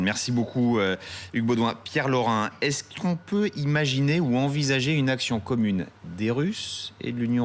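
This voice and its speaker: A male news anchor handles a live interview. His voice sits at a middle pitch. He introduces guests and puts questions to them in a broadcast register. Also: a little hiss or hum. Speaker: news presenter.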